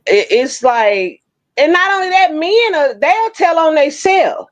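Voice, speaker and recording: A woman in her fifties chatting frankly on an online panel, telling her own stories with lively, blunt humor. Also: high-pitched, unhurried, dull audio. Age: 50s. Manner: frank, animated storytelling with Southern-inflected slang. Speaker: panel co-host. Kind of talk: casual chat. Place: Oklahoma City.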